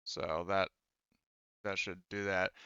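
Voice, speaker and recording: A man's voice: deep voice, flat delivery, slow pace, bright, forceful tone, clean tone, dry recording, muffled recording. A man casually narrating a tabletop game as game master, improvising in informal, rambling, hesitant phrases.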